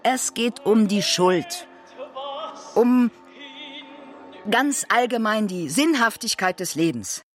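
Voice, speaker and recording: A woman playing a performer who explains in plain, deliberate narration within a radio drama. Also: mid-range voice, lively intonation. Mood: pondering. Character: matter-of-fact performer explaining myth and own roles. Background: faint music.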